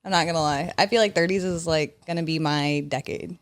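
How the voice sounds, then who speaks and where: A woman's voice: sits low, brisk. A thirty-year-old woman co-hosting a casual podcast, talking reflectively and laughing easily. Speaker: podcast co-host. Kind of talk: casual chat.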